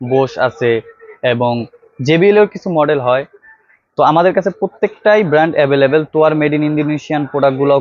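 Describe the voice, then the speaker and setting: A man's voice: fairly high pitch, no room sound. A man with a Bangladeshi accent presents products in an informal, salesman-like way, addressing viewers directly on a lapel mic. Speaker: electronics shop vlog presenter. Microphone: clip-on wired lapel microphone. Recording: dry, slightly dull lo-fi sound.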